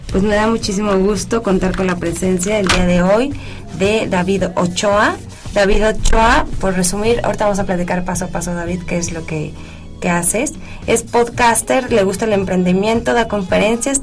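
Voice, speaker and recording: A woman's voice: medium pitch, a little mellow. Mexican woman hosting a radio interview. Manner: chatty, with fillers and restarts. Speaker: radio show host.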